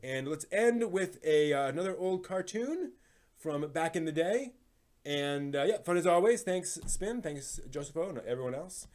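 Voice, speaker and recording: A man's voice: a little high, animated, normal speed, darkish tone. A man hosting a live stream in casual chat, hesitant and rambling, often trailing off.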